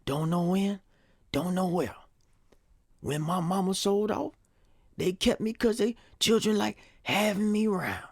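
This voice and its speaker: Man playing a husky, gravelly old storyteller. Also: high voice, unhurried, a little mellow, no room sound, studio quality, full character voice. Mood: reflective, matter-of-fact. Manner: drawling, folksy, teasing. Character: old former slave, teasing, easygoing musician, male. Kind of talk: nostalgic audio drama acting.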